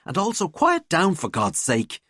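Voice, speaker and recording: A woman's voice: lowish voice, huge pitch swings, unhurried, a bit bright, slightly rough, dry recording, clean studio sound, pitched above the performer's own voice, full character voice. A woman voicing an earnest, easily embarrassed teenage schoolgirl in an audiobook, wry and defensive.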